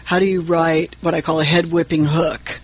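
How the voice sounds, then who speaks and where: A woman's voice: sits low, flat delivery, normal speed, breathy edge. A woman brand coach in an interview, talking earnestly and explaining with anecdotes. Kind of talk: casual interview talk.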